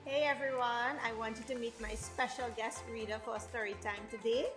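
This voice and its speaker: Lady hosting kids storytime, highish voice, encouraging, bit roomy w faint music. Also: steady tone, brisk, fairly bright tone. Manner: encouraging, addresses listeners directly. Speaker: host of a children's storytime show. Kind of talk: presenter talk to parents and children.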